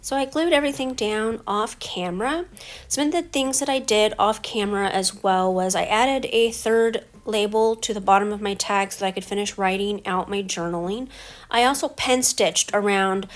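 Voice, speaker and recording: A middle-aged woman with a stuffy head cold, talking through her scrapbook layout step by step in a relaxed voice-over. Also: medium pitch, normal speed. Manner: relaxed, explains each step as she works. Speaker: scrapbooking crafter. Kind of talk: casual craft voice-over.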